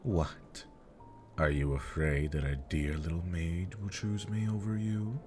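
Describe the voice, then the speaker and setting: Man voicing a deep, smug demon rival. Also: little pitch movement, unhurried, darkish tone, soft background music. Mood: smug, taunting. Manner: drawling, mock-courteous, flirtatious. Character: smug, taunting demon older brother, suave romantic rival. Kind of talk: ASMR roleplay villain acting.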